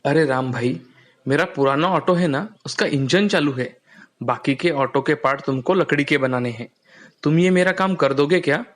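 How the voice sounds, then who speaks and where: Friendly rickshaw-driver father, raised pitch a man acting. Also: moderate pace, lightly acted. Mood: earnest. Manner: polite, earnest.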